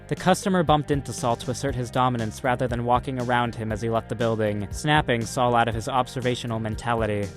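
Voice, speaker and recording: A man reading scripted story narration as the narrator of a fan-made audio drama. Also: mid-range voice. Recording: music behind the voice.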